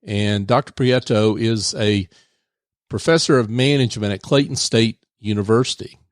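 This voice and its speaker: A man hosting a radio business show, chatting in a relaxed way with many fillers from a studio. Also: lowish voice, moderate pace, dry recording. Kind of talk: casual radio interview.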